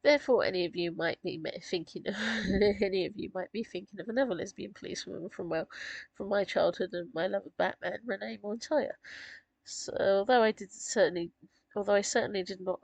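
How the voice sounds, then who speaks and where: A woman talks in a relaxed, rambling way, her voice croaky and gravelly from a sore throat. It has a breathy, husky edge, and she is recorded close and dry with no room sound around her. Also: mid-range voice, brisk, dry recording, rough recording. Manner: chatty, rambling with fillers and small laughs. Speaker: author discussing her characters. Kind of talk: casual chat.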